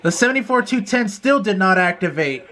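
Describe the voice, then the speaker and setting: A man's voice: high-pitched, expressive, a little fast, pushed and bright. A man chatting casually as a radio hobbyist about his equipment, recorded at home. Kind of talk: casual chat about weather radio receivers.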